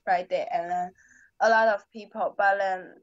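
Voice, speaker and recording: A teenage high school girl talking in an online English lesson, halting and searching for simple words. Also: fairly even intonation, no echo, lo-fi sound. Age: teens. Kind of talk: conversation practice in a lesson. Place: small indoor room at home. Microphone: laptop webcam on video call.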